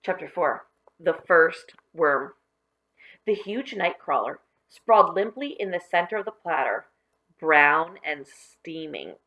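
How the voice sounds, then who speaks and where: A woman storyteller reading a children's book aloud expressively, with deliberate phrasing and stressed key words. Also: low-pitched, lively intonation, highs cut off.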